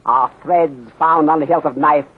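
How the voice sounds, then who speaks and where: An actor in a 1930s radio drama playing a calm, methodical detective inspector with a put-on Chinese accent and formal, clipped sentences. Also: male voice, a little high, slightly rough. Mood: calm, accusing. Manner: measured, formal, clipped sentences without articles. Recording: muffled, phone-like 1930s radio recording.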